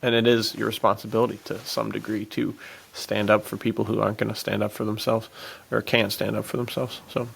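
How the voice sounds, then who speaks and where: Very gravelly, creaky man, casual chat. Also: fairly low pitch, level tone, fairly quick, few pauses, fairly bright tone, rough recording. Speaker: podcast co-host. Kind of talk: casual chat about films.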